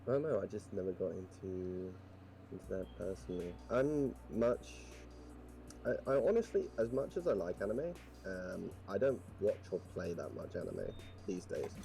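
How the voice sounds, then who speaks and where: A man's voice: deep voice, very animated, moderate pace, vocal fry, mellow, faint music behind. A man with a posh English accent chatting casually as a guest, rambling thoughtfully with many fillers. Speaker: podcast guest. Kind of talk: casual chat.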